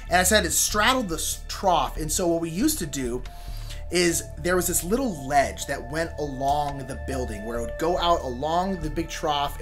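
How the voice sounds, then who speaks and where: A young man with an American accent tells a story in a casual, chatty way. His pitch moves around a lot and his voice is bright and punchy. He talks informally and theatrically, with faint music behind him. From Sacramento, California. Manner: animated, informal, dramatizes with exclamations and asides. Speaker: storyteller, show host.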